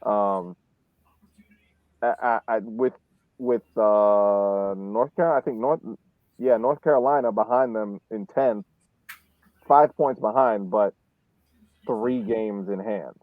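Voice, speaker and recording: A man chatting casually about sports as a podcast panelist, halting and repeating his words. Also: on the low side, dry recording. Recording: tinny, phone-like dry line.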